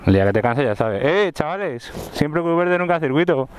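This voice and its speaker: A man's voice: a little high, lively intonation, brisk. Joking young man with slangy banter. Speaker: visiting fellow motovlogger. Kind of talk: casual chat among friends. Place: outdoor gravel parking lot by the water.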